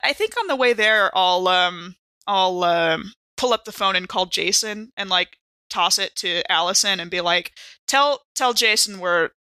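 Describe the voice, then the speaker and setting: A woman with a bright, forward voice plays in a tabletop horror game. She improvises casually with wry, playful delivery, recorded close and dry. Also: medium pitch, measured pace, clear, resonant tone, dry recording. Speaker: player in actual-play podcast. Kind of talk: casual in-character improvised roleplay.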